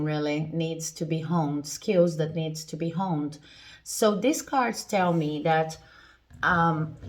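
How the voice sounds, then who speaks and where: Low-voiced woman reading tarot. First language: Portuguese. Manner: reassuring, explanatory. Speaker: tarot reader. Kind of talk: pick-a-card tarot reading.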